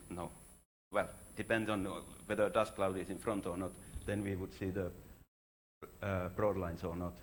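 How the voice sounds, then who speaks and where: A man giving an informal scientific conference talk as a researcher, speaking haltingly and often correcting himself mid-sentence. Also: deep voice, slight background noise. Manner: halting, frequently self-correcting, informal phrasing.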